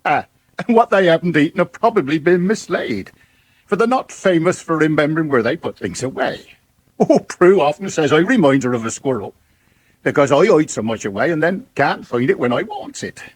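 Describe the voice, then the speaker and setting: A man's voice: a little high, animated, brisk, slightly rough, no room sound. An actor playing an older rustic countryman, narrating a folksy, ruminative monologue on life in a radio serial. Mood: musing. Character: old countryman musing on nature and village life.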